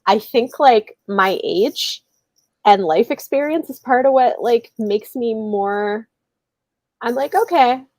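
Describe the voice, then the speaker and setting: Female book-chat host, informal and animated, thinking aloud in stops and starts, close dry sound. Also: fairly high pitch, slow pace, dry recording. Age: adult, married with children. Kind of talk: casual book chat on a livestream.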